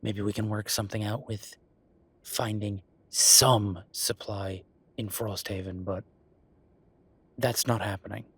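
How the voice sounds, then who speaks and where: Man acting a husky, low-voiced exasperated bureaucrat. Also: a bit bright, exaggerated voice. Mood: weary, exasperated. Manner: exasperated, emphatic, repeating himself. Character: exasperated male werewolf bureaucrat, dry and firm. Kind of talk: audio roleplay acting, one side of a phone call.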